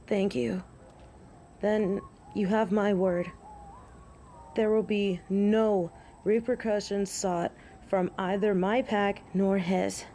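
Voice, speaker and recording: An actress plays a proud werewolf woman of honor in an audio drama. Her voice sits at a medium pitch and her words are formal, solemn and old-fashioned. A faint noise lies behind the recording. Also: steady tone, slow pace, mellow. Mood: solemn pleading. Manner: formal, archaic, solemn phrasing. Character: proud, honorable female werewolf pleading with human hunters.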